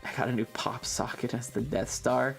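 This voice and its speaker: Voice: mid-range voice, steady tone, normal speed, gravelly, soft background music. A livestream host chatting casually with viewers in a rambling, loose, casually profane way. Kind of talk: casual chat with stream viewers.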